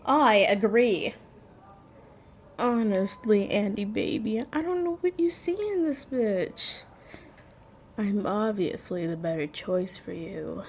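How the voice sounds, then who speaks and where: A woman's voice: medium pitch, big pitch swings, slow pace. A woman playing a spoiled, catty young rival who condescends cutely, in an audio drama with background noise. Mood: catty, condescending.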